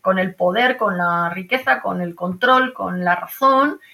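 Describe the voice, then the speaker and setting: A woman's voice: medium pitch, a little slow, gravelly, pushed and bright. A woman with a Madrid Spanish accent explains ideas as an interview guest, emphatic, repeating phrases and checking in with tag questions. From Madrid, Spain. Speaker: interview guest, archaeologist and university professor. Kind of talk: explanatory conversation.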